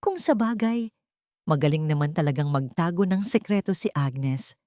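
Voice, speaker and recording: A woman's voice: sits low, lively intonation, normal speed, dark tone, no echo, clean studio sound. A woman with a Filipino accent playing a vengeful, calculating wronged wife in an audio drama, pointed and insinuating.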